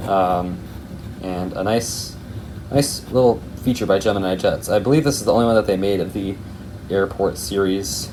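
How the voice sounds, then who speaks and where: A man's voice: low voice, moderate pace, a little mellow, a little hiss or hum, low-quality recording. A man giving casual, rambling hobby commentary as the host of an unboxing video. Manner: rambling, tangent-prone.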